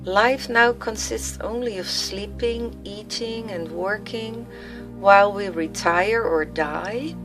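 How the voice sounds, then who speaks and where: A woman's voice: mid-range voice, takes it slowly, close to an everyday voice, poor audio. A woman voicing a pensive, weary man in a brooding inner monologue for a radio play. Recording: music laid under the voice.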